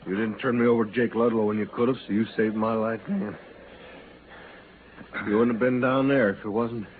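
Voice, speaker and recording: An actor playing a proud, stubborn Western outlaw with a folksy American rustic drawl in a 1950s radio drama. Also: male voice, fairly low pitch, fairly even intonation, moderate pace, lightly acted. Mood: gruff, resigned. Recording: noisy, tinny old-time radio recording.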